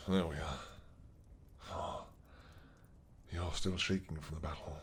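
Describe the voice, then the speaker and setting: A man voicing an old, once-mad dragon king who is possessive and doting, speaking slowly with a tender, teasing manner in an audio roleplay. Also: rumbling low, fairly even intonation, slight background noise.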